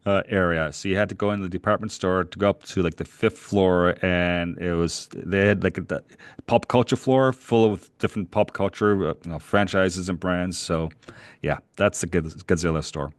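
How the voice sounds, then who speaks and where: A middle-aged man from Canada, co-hosting a podcast and telling animated, rambling travel stories with frequent chuckles. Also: low-pitched, moderate pace, no room sound, studio quality. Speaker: podcast co-host. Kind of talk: casual chat, travel storytelling.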